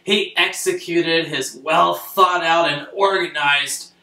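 A young man delivers a mock bedtime story with crass, pompous theatricality. His voice is bright and unhurried, picked up off-mic in an echoey room. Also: a little high, distant mic. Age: young adult. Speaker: storyteller playing a crass father. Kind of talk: comic bedtime-story narration. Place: small bedroom, indoors.

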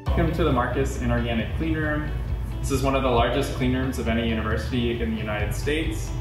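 A man's voice: mid-range voice, steady tone, fast, recorded from a distance. A young man narrating a lab tour in a plain, informative voice. He sounds set back from the mic in an echoey space. Music plays behind him. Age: young adult. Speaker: graduate student tour guide.

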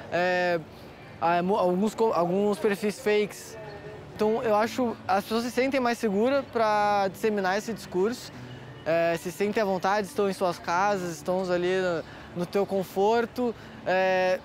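A Brazilian man in his twenties talking casually in an outdoor interview. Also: high voice. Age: 20s. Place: outdoors on a busy campus square.

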